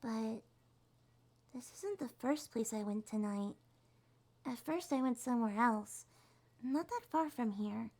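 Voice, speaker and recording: Woman acting as a shy sweet monster girl succubus, high gentle voice, slow n hesitant, soft breathy asmr roleplay. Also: expressive. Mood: nervous, explaining. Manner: hesitant, gentle, slightly stammering.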